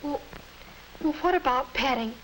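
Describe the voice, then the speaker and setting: A woman's voice: sits high, moderate pace, fairly bright tone, low-quality recording. An American actress playing a doubtful, questioning teenage girl in 1950s educational film style. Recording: hissy 1950s film soundtrack.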